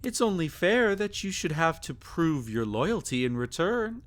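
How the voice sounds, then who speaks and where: A man's voice: on the high side, animated, measured pace. A man playing a sadistic, possessive vampire in intimate ASMR roleplay, theatrical and taunting, often dropping into a whisper. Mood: gloating. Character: sadistic, possessive male vampire toying with a captive.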